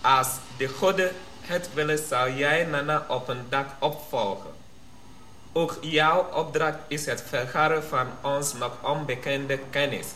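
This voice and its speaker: A royal spokesman in a radio drama, solemn and formal. His voice is fairly high and forceful, each phrase slow and ceremonious. The room rings a little around him. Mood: solemn, proclaiming. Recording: roomy sound with faint noise.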